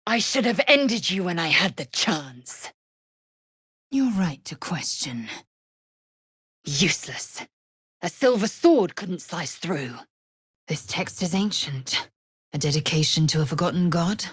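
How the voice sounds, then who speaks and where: Video game role: proud, scornful female alien warrior. Low, raspy voice, curt commands, measured delivery. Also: expressive, dry recording, clean studio sound, full character voice. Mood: menacing. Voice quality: husky, raspy. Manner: imperious, clipped, deliberate. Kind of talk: video game character voice lines.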